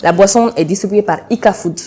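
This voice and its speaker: A woman's voice: mid-range voice, fairly quick, mellow. A woman with a Malian accent, chatting effusively and full of compliments as the host of a casual celebrity cooking show. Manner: effusive, complimentary, often laughing. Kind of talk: casual celebrity chat interview.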